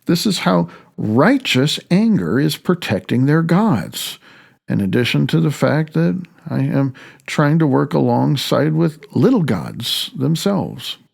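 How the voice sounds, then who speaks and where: A man narrating his own audiobook in a candid, wry way, loosely phrased with asides, halting and then rushing ahead. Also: fairly high pitch, big pitch swings, gravelly, a little mellow, slightly rough. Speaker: author reading own audiobook.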